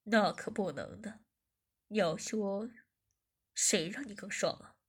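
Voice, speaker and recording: A woman voicing a bossy, domineering man who taunts and gives orders in a lowered, husky voice. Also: brisk. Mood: taunting. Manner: taunting, commanding.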